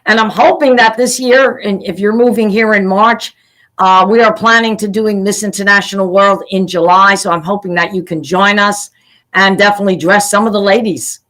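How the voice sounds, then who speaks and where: A woman's voice: medium pitch, steady tone, normal speed, fairly bright tone. A middle-aged woman who runs a pageant, hosting an interview in a chatty way and praising her guest effusively. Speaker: pageant CEO, interview host. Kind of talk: casual interview talk.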